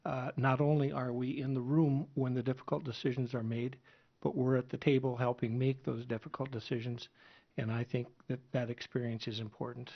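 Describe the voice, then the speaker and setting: A man's voice: medium pitch, little pitch movement, brisk, vocal fry. A man from North Dakota, a sitting legislator, giving a modest, matter-of-fact opening statement at a forum. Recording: dull lo-fi broadcast audio.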